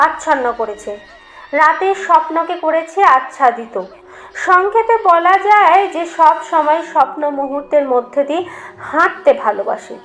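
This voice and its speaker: Female storyteller, high register, for scripted horror audiobook narration; dramatic, literary read. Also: a bit bright, rough recording.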